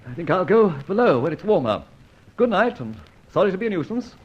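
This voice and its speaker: Jaunty, swooping British gentleman detective. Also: sits high, sing-song, brisk. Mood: affable, bluffing. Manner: breezy, chatty, old-fashioned upper-class slang. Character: jaunty gentleman adventurer detective, wry and brisk. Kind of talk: old-time radio detective drama acting. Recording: muffled old radio recording with noise.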